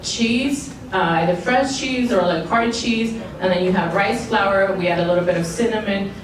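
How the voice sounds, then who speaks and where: A woman's voice: medium pitch, moderate pace, crowd noise. A young adult woman, a baker on a panel, chatting casually and using lots of "like". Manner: casual, many fillers and "like". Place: museum event space. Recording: very echoey room from far off, noisy.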